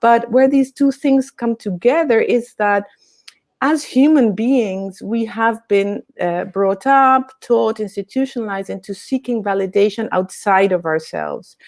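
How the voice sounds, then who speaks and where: Gravelly-voiced woman interview guest. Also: fairly high pitch, a little slow, darkish tone. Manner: open, enthusiastic, filler-heavy. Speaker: guest, coach and founder. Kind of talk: casual interview chat.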